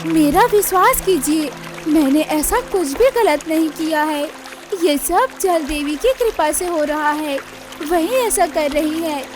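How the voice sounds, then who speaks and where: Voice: sits high, a little slow, over music. A performer voicing a meek young female bird, a polite, pleading orphan daughter-in-law in a cartoon. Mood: earnest.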